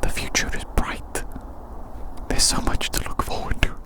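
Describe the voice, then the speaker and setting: An ASMR creator rambling chattily in a breathy, unvoiced whisper outdoors, softly repeating phrases. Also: moderate pace. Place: outdoors in the woods in winter. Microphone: action camera.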